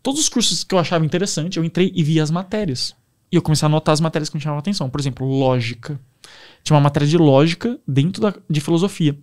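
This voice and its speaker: A young man with a Brazilian Portuguese accent, a podcast guest telling stories in a casual, animated way. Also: on the high side, rapid-fire. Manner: animated, enthusiastic storyteller. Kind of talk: casual storytelling.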